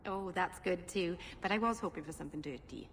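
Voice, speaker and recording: A woman playing a gentle, naive young female elf in a fantasy video game, with an earnest, halting delivery. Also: lowish voice, slight background noise, natural voice. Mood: playfully curious.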